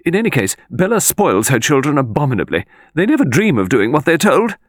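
Wanted: bossy, well-to-do elderly lady, played by a man, high-pitched, brisk and commanding, for an audiobook. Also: sing-song, no room sound, studio quality. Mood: brisk, imperious. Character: autocratic, sharp-tongued, brisk old lady of means. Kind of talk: audiobook character voice.

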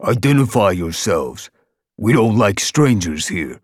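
A man's voice: fairly low pitch, big pitch swings, measured pace, no room sound, studio quality, pitched above the performer's own voice. A man doing a hostile, burly alley-cat guard. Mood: suspicious. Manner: suspicious, demanding.

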